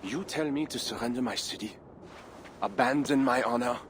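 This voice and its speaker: An actor playing a proud medieval Frankish count in a dramatic game cutscene, formal, solemn and defiant. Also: male voice, medium pitch, moderate pace, slight background noise, natural voice. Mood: bitter, brooding. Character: proud, doomed medieval Frankish count defending his city.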